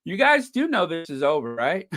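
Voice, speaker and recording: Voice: medium pitch, wildly swooping pitch, normal speed, a bit bright. A live-stream host signing off in casual, rambling chat, joking and chuckling and calling chat viewers by name.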